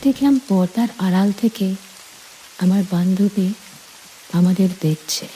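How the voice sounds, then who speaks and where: A woman narrating a scripted romantic story in the first person, deliberately, in short phrases. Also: mid-range voice, mellow, slight background noise, poor audio.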